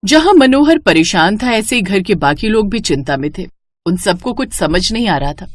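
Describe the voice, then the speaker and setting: A woman narrating a scripted cartoon moral story in an expressive storytelling manner. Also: medium pitch, moderate pace, dry recording.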